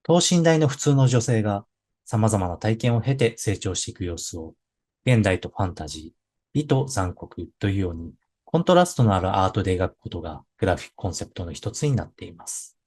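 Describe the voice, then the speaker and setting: Animated, low-voiced man. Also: a little fast, slightly rough. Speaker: presenter. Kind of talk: scripted conference presentation.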